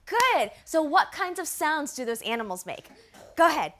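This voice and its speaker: A woman teacher leading a primary class with encouraging, animated questions and read-aloud lines, recorded in a classroom. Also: sits high, moderate pace. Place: classroom with young children.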